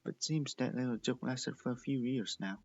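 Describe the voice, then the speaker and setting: A man's voice: mid-range voice, moderate pace, vocal fry, darkish tone, lo-fi sound. A man reading a story aloud as the narrator. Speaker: fan fiction reader and narrator.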